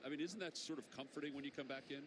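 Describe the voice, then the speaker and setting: A man's voice: on the high side, steady tone, racing. An American man calling a baseball game as play-by-play announcer and chatting with guests. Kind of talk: live baseball commentary and in-game interview. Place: outdoor baseball stadium. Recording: outdoor ballpark noise. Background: ballpark ambience.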